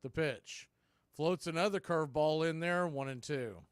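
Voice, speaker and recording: A man calls a baseball game for a fan radio stream, his fairly high voice smooth and resonant on a close, dry mic. He moves between pitch calls and casual, opinionated asides. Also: normal speed, no room sound. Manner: conversational, opinionated fan commentary. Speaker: fan radio play-by-play host. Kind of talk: play-by-play baseball commentary.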